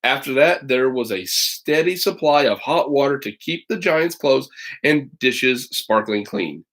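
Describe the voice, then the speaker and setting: Male storybook reader, teacher type, mid-range voice, animated, conversational, drops in playful asides. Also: mid-range voice, measured pace. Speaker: teacher reading aloud to children online. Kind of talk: expressive storybook read-aloud with casual asides.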